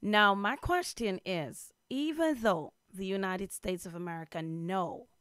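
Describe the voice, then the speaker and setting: A woman's voice: medium pitch, measured pace, a bit bright, no room sound, clean studio sound. A middle-aged woman from Jamaica, co-hosting a radio discussion and asking questions. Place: small radio studio indoors. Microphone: studio microphone on arm, headset.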